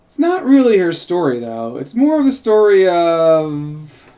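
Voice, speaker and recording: Mellow, lively-pitched man at a table read. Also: unhurried. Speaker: writer and co-host of table read. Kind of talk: casual chat and script narration.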